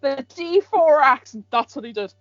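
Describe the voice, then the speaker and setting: A woman's voice: high-pitched, lively intonation, moderate pace, fairly bright tone, no echo. A young woman with an Irish accent bantering casually, blunt and sweary, often chiming in. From rural Ireland. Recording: dull lo-fi recording, music behind. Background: music.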